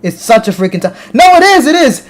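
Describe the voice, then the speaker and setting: High-voiced American man streaming live. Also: big pitch swings, a little fast. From United States. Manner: talks straight to viewers, reads comments aloud, restarts words. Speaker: livestream host reading chat. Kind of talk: casual livestream commentary.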